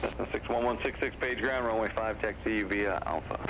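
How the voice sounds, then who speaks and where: A man's voice: lowish voice, a little fast. A man working as an air traffic controller, giving clipped instructions over aviation radio. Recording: noisy aviation radio transmission.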